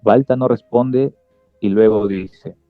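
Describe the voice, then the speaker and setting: A man narrates a literary radio drama from a script. His voice is fairly low and he reads very slowly. The sound is dry and slightly muffled, with soft music beneath. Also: steady tone, no room sound. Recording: dull lo-fi sound, music behind.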